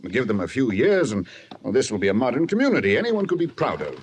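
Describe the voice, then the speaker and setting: Hearty, animated colonial captain. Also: male voice, mid-range voice, fast. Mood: persuasive. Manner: hearty, chummy, old-fashioned turns of phrase. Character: jovial British colonial captain. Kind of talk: 1950s radio adventure acting. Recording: noisy 1950s radio recording.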